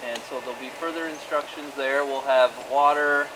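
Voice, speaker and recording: A man making a relaxed, plain-spoken announcement at an outdoor club meeting. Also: medium pitch, moderate pace. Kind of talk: casual announcement. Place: outdoor park. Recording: noisy outdoor recording.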